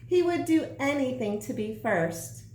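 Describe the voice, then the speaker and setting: A woman teacher reading a children's story aloud with deliberate, emphatic phrasing. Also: high-pitched, expressive, a little mellow. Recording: roomy echo, some background noise.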